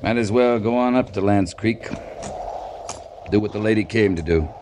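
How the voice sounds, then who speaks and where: An actor playing a seasoned, terse cavalry captain in a 1950s radio western, speaking with laconic authority. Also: male voice, on the low side, moderate pace, over music, natural voice. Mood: firm. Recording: noisy old 1950s radio recording.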